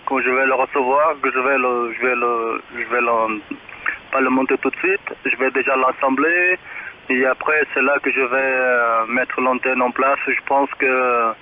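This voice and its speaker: Halting man on a tinny radio. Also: mid-range voice. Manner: halting, repeats himself. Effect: two-way radio transmission. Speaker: amateur radio operator. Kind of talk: casual radio chat. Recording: tinny radio link with clicks, faint noise.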